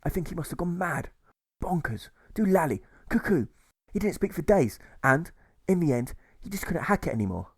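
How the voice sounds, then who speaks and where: A man's voice: on the high side, sing-song, normal speed, breathy edge, no room sound, studio quality, voice pushed up, heavily acted. A man voicing a distressed, chummy soldier who talks in exclamatory, old-fashioned slang in an audiobook. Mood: distraught.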